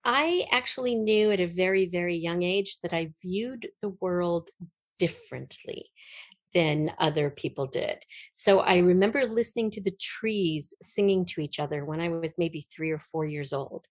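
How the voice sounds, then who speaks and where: A middle-aged woman telling personal stories as an interview guest, in an expressive way that acts out remembered dialogue. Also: on the low side, moderate pace, dry recording. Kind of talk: personal storytelling in conversation.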